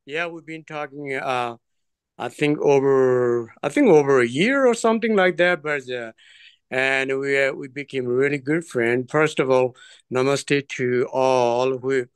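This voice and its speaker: A man's voice: mid-range voice, a bit bright, clear, resonant tone, no room sound. A man, telling his life story as an interview guest in an earnest, digressive, hesitant way. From Nepal. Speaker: trekking guest.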